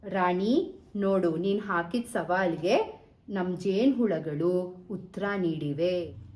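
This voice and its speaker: A woman storyteller voicing a wise, calm king who explains things patiently. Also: lowish voice, slow pace. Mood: calm explaining. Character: wise, calm male king solving riddles.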